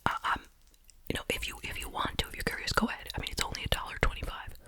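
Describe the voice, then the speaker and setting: A woman speaking in a breathy, unvoiced whisper, chatting and musing through an ASMR food review. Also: very fast, bright, forceful tone. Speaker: host reviewing snacks.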